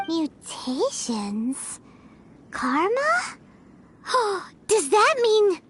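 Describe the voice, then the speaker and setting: A woman voicing a small, excitable childlike fairy companion in a video game, chatty and earnest with a squeaky voice. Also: drawn-out words, slight background noise, heavily acted. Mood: puzzled. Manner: refers to self in third person, exclamatory. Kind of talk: video game character voice acting.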